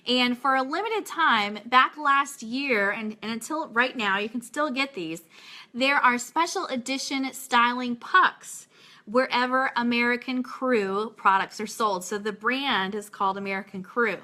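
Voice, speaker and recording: Bright-voiced woman hosting casual radio. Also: on the high side, measured pace. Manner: chatty, informal. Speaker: radio show host. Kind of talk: casual livestream radio talk.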